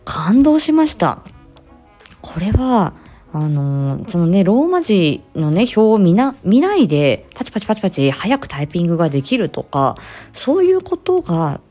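Lady doing a casual audio diary, really lively pitch swings, kinda soft mellow tone, faint music behind. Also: normal speed. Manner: expressive, re-enacts short quotes while explaining. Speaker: speech-language therapist hosting an audio diary. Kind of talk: casual solo chat, storytelling.

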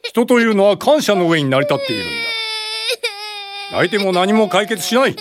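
A man's voice: high voice, sing-song, a little fast, heavy background noise. A man playing a pompous, lecturing former school principal turned child welfare officer in a radio drama. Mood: scolding, exasperated. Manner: lecturing, self-important, indignant.

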